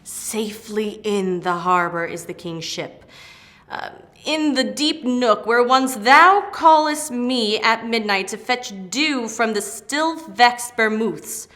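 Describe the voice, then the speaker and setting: A woman's voice: fairly high pitch, lively intonation, slow, sharp tone, exaggerated voice. A woman playing an eager, proud airy spirit servant in a declamatory, emphatic Shakespearean stage reading. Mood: dutiful, reporting. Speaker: actor in dramatic reading.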